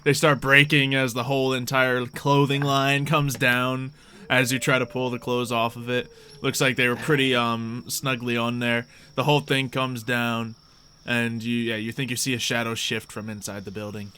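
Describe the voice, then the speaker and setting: A man improvising casual tabletop game narration as game master in a halting, conversational way. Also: mid-range voice, little pitch movement, sharp tone. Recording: clear background noise with insect sounds.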